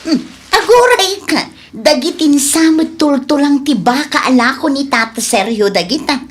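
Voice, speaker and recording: A woman's voice: high-pitched, animated, normal speed. A woman playing a nosy, mocking village neighbour in an Ilocano comedy radio drama, with an Ilocano Filipino accent.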